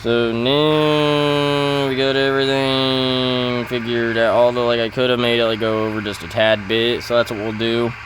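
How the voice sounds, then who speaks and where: A man giving casual commentary over farming gameplay, halting and often correcting himself as he thinks aloud. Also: medium pitch, level tone, noisy background. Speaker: gaming video host.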